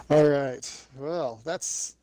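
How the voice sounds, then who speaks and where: A man's voice: mid-range voice, a little mellow, no room sound, poor audio. A man giving conversational analysis as co-commentator on a live esports match.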